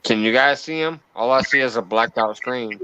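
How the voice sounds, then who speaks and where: A man's voice: mid-range voice, moderate pace, sharp tone, clear, resonant tone, dry recording. A man hosting a casual livestream, laughing and coaxing guests to join the call. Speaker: livestream host. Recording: dull, lo-fi stream audio.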